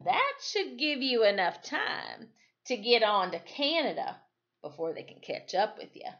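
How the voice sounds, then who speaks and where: A woman voicing a jolly, folksy man excitedly bringing good news in a storybook. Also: on the high side, a little slow, lo-fi sound, exaggerated voice. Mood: excited, urgent.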